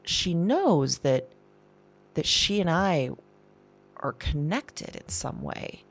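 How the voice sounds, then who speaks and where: Woman acting a shaken, halting diarist, slow and breathy. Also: fairly low pitch, sing-song, exaggerated voice. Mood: searching, unsettled. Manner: halting, breathy, repeats herself, drops into whispers. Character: shaken, anxious woman recording a diary of strange visitations. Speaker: audio drama performer. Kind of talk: intimate confessional audio diary acting.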